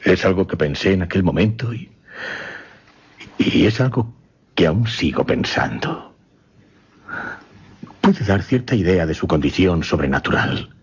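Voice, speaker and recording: An actor playing a shaken gentleman recounting a supernatural horror in a radio play, grave, formal and halting. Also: low voice, lively intonation, slightly rough, exaggerated voice. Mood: grave dread. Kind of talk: suspense radio theatre acting. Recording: dull, muffled radio recording.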